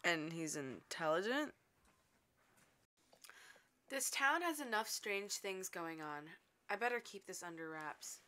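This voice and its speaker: A woman's voice: on the low side, expressive, takes it slowly, long pauses, pushed and bright, heavily acted. A woman playing a practical, wry young lighthouse keeper and inventor, narrating and speaking in an audio drama in a conversational way. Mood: stunned.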